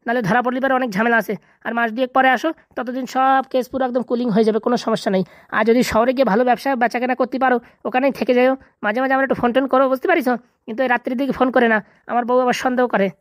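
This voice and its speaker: A man's voice: very high-pitched, level tone, very fast, no room sound, studio quality. A man voicing a vengeful, bossy corrupt local politician in a comedy cartoon, boastful and insistent. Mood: bossy, scheming. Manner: bossy, boastful, repeatedly checking he is understood.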